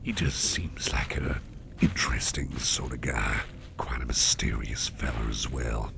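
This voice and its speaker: Male ASMRtist playing a fanged boss, very deep and gravelly whisper, chatty and secretive, steady background noise. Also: measured pace, full character voice. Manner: soft rambling whisper. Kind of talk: ASMR roleplay.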